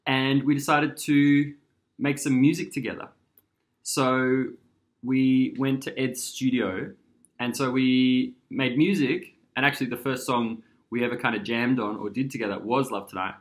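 A man's voice: mid-range voice, fairly even intonation, a little slow. An Australian man in his thirties, a musician guest chatting casually with many fillers while he rambles, recorded indoors by a window on a camera at arm's length. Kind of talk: casual chat.

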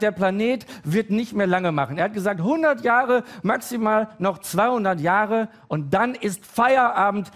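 A man in his forties doing a stand-up comedy routine with halting, hesitant delivery and deadpan timing, full of pauses and fillers. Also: sits high. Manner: deadpan, growing excited and loud toward the end.

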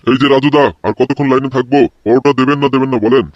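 A man's voice: medium pitch, level tone, racing, no echo, close to an everyday voice. A man voicing an impatient, foul-mouthed queue customer in a comedy cartoon. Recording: dull lo-fi dry voice track.

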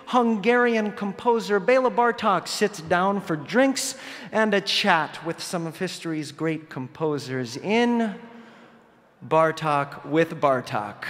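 A man hosting a live radio show in a concert hall, announcing the next segment. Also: sits high. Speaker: host.

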